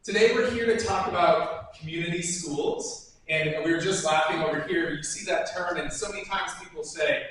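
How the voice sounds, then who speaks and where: An energetic male principal talks to a civic group, his voice a touch high and upbeat, laughing between points. He sounds far from the mic in a very echoey meeting room, with faint noise underneath. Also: normal speed, few pauses. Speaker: school principal.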